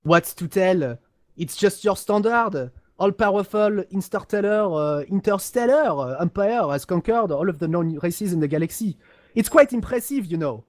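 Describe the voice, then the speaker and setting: A man voicing a pompous, condescending highborn aristocrat of a galactic empire in a halting, haughty delivery, as in an amateur role-play of game lore. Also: lively intonation, darkish tone, no echo. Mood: aloof.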